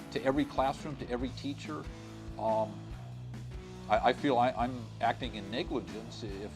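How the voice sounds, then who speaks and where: A middle-aged man speaks as a school administrator in an informal interview. His delivery is halting as he searches for words, at a medium pitch. Guitar music plays clearly underneath. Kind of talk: informal on-camera interview answers.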